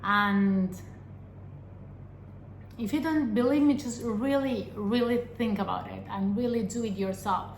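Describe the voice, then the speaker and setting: A young adult woman from Argentina, a vlogger giving an earnest personal talk to camera, pausing often and asking rhetorical questions. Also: medium pitch, creaky, slight background noise. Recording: echoey room with faint ambience.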